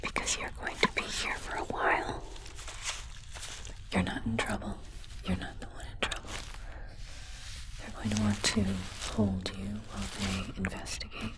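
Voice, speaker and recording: A woman playing a calm alien translator technician in a whispered ASMR roleplay, speaking softly and carefully, like a reassuring clinician. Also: medium pitch, normal speed, pauses often. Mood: gentle, reassuring.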